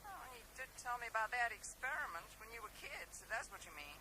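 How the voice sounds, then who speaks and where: A woman's voice: a little high, animated, fast, noisy background. An actress playing a helpful, matter-of-fact woman on the telephone in a radio drama. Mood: helpful, thoughtful. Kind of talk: 1980s radio drama acting.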